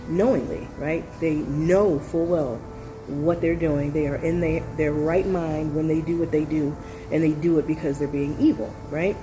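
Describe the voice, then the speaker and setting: A woman's voice: low voice, poor audio. A woman talking casually in a reaction video, nasal from a cold, rambling with many fillers and side notes. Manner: rambling, full of fillers and asides. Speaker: video commentator.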